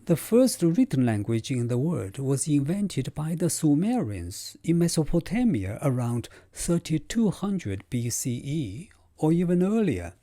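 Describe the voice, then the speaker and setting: A male professor, explaining his subject in a measured, scripted way. His pitch moves a lot, lifting to stress key points, and his voice is warm and mellow. The recording is dry and close, with no room sound. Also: sing-song, dry recording. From China. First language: Chinese. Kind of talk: scripted lecture.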